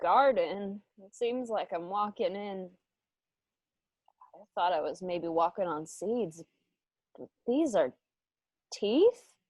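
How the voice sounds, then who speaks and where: Distraught mother for an actress: high voice, very slow halting delivery, dry close sound. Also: expressive, darkish tone, no echo. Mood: bewildered. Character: bewildered, distraught mother lost in a nightmare. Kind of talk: radio drama acting.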